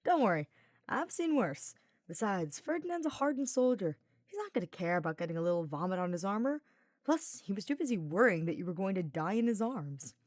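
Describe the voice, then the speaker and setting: A woman's voice: mid-range voice, sing-song, brisk, no echo, clean studio sound, lightly acted. A woman voicing a teasing, easygoing young male knight in a fantasy story, slangy and informal. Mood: amused.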